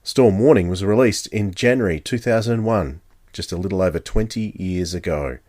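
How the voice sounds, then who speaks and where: A man's voice: deep voice, normal speed, darkish tone, dry recording, studio quality. A man with an Australian accent giving a scripted introduction as a podcast host.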